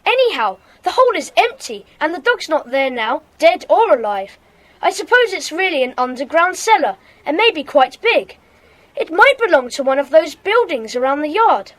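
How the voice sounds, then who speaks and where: A woman's voice: high voice, very animated, normal speed. A woman playing a sensible, sceptical schoolboy in a detective gang, reasoning matter-of-factly in a children's audio drama. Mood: sceptical, musing.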